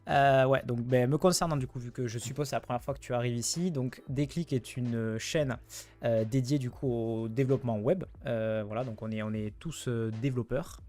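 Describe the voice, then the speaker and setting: Male stream host, mid-range voice, quick and chatty, informal. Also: mid-range voice, mellow, soft background music. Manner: brisk, chatty, speeds up when greeting the chat. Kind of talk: livestream hosting.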